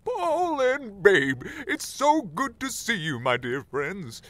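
A jolly old Santa, played by a man in a holiday audio drama. He is gracious and hearty, stretching his words, with a highish, very mobile pitch. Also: very animated, slow pace, a bit bright, heavily acted.

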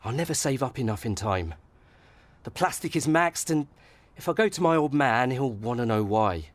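Man acting unsure young guy in a radio soap, doubtful, medium pitch. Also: lively intonation, moderate pace, no room sound, close to an everyday voice. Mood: glum. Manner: doubtful, hesitant. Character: hesitant, worried young lad short of cash.

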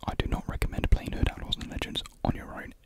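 A British man in his twenties whispering a casual ASMR ramble softly, close to a desktop microphone in a small room. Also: fast. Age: 20s. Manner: soft unvoiced whispering. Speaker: ASMR video creator. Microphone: close desktop USB microphone.

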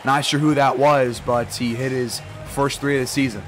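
A slightly hoarse man giving casual gameplay commentary, relaxed and full of fillers, louder and livelier when calling plays. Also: mid-range voice, normal speed. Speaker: gaming YouTuber. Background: game music.

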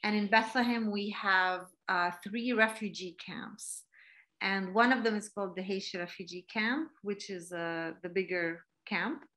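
Slow-talking woman on a video call. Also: mid-range voice, pushed and bright. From Palestine. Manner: reflective, many fillers and restarts. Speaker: guest speaker. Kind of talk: online talk. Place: home room, indoors. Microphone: laptop webcam video call.

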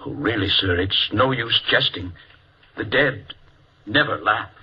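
An actor playing a pompous, mournful funeral parlor attendant in a 1950s radio drama. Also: male voice, on the low side, animated, unhurried, slightly rough, heavily acted. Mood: unctuous. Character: solemn, unctuous male funeral parlor attendant. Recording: muffled old radio recording.

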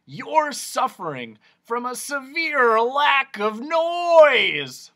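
A narrator voicing a manic, excitable male doctor in a storybook, a theatrical salesman of noise who bellows and stretches out his words. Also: extremely high pitch, extremely slow, pitched above the performer's own voice, heavily acted. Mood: loud, bellowing.

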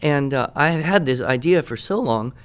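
A middle-aged man with an American accent talks as a podcast expert. His voice sits at an ordinary male pitch. He speaks conversationally, with enthusiasm and emphasis, like a teacher promoting a useful tool. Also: lively intonation, normal speed, smooth. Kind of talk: conversational product talk on a podcast.

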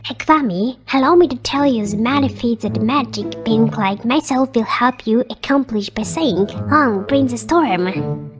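A woman's voice: on the high side, measured pace, music in the background, lightly acted. A woman voicing a tiny, proud, old-fashioned dragon spirit in a comic dub, speaking formally and wordily. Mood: courtly. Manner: formal, pompous, wordy.

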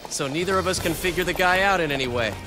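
A man plays a young, hot-headed warlord in a video game drama. His voice sits high for a man, with an eager, cocky, informal way of talking. Music plays clearly behind him. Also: quick pace, close to an everyday voice. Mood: musing, defiant.

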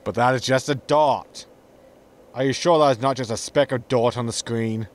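A man's voice: medium pitch, moderate pace, lightly acted. A man playing a sarcastic, casual, grumbling spaceship captain in a sci-fi comedy audio drama. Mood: wry. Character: flippant, grumbling male starship captain.

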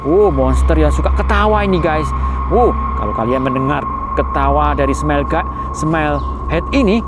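A man with an Indonesian accent narrating a playful toy video for children, excited and theatrically amazed, with exclamations throughout. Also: on the high side, normal speed, heavy background noise. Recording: music laid loudly over the voice. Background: loud music.

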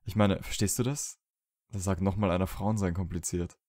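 Man acting a mellow, low-voiced young wizard. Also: steady tone, moderate pace, dry recording. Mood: wry, annoyed. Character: young male wizard, lovesick, evasive, wryly sullen. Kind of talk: fan fiction audio drama acting.

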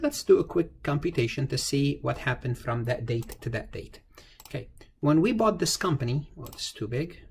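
A man's voice: mid-range voice, slightly rough. A man teaching an informal step-by-step tutorial, explaining as he goes, repeating phrases and asking himself questions that he then answers. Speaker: accounting lecturer. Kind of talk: informal tutorial lecture, thinking aloud through a worked example. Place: indoors, screen-recorded voice-over. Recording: noticeable background noise.

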